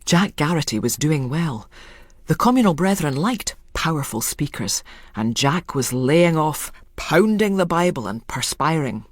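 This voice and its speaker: A woman's voice: low voice, sing-song, unhurried, no room sound, studio quality. A woman reading a scripted literary story as a dramatic narrator.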